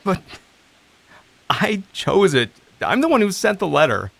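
A man acting the part of a lonely, self-doubting recovery coach in an audio drama, halting and introspective. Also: high-pitched, big pitch swings, creaky, fairly bright tone, full character voice. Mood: despairing.

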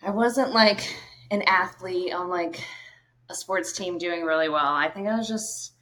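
A woman's voice: fairly low pitch, measured pace, pushed and bright. A woman in her thirties from Maine, a guest in a casual podcast interview, talking easily with lots of "like" fillers. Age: 30s. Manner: easygoing, many fillers like "like".

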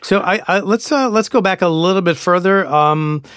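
A man hosting a podcast interview, chatty and curious, asking quick follow-up questions. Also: sits high, a bit bright, clear, resonant tone, no echo, clean studio sound. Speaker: podcast host. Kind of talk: conversational interview.

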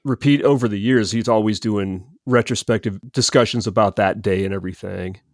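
A man's voice: fairly low pitch, a little fast, no room sound, studio quality. A man co-hosting a podcast, giving his opinions on music in casual conversation. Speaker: podcast co-host.

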